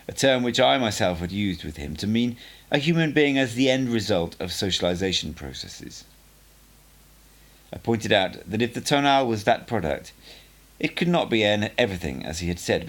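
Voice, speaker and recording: A man reading a book aloud as an amateur audiobook narrator, in an expressive, measured way with emphatic phrasing. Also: on the low side.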